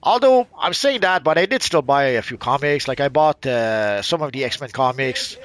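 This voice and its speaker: A man's voice: mid-range voice, lively intonation, moderate pace, fairly bright tone. A middle-aged man from Quebec with a mixed Quebec accent, chatting in a hesitant, rambling way while playing a game. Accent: Quebec French mixed. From Quebec, Canada. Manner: rambling, hesitant, many fillers and restarts. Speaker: gaming channel host. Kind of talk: casual commentary while playing.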